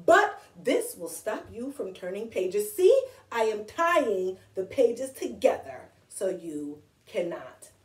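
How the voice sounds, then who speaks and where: A woman's voice: medium pitch, big pitch swings, slow. A woman voicing a frantic, fearful male puppet monster from a storybook, melodramatic and pleading. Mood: insistent. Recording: echoey room, distant from microphone.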